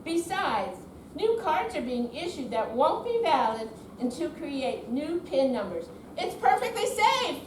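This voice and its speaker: A woman's voice: sits high, sing-song, a little slow. A woman playing a glib, reassuring con artist posing as a bank caller in an amateur stage reading. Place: indoor senior center performance room. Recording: distant echoey hall, faint noise.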